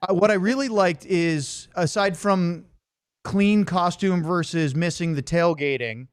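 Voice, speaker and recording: A man's voice: high voice, unhurried, clear, resonant tone, no room sound, studio quality. A man hosting a casual sports podcast, chatty and jokey in his delivery. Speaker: podcast host.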